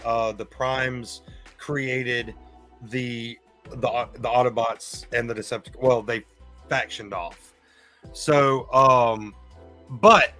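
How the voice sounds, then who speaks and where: A man with a bright, forceful voice at an average pitch, chatting casually as a podcast host. He explains in a rambling, enthusiastic way, with faint music behind him. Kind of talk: casual fan chat on a live stream.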